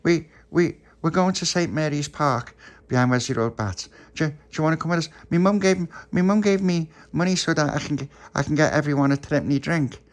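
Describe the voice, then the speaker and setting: A man's voice: mid-range voice, lively intonation, normal speed, vocal fry, voice pushed up. A man voicing a cheerful, eager little puppy boy in a told story. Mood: excited.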